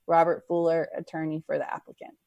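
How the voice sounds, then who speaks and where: A woman's voice: low-pitched, level tone, quick pace, creaky, warm tone, dry recording, dull audio. A woman working as staff at a public board meeting, reading out items and talking informally through procedure. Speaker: city zoning board staff member.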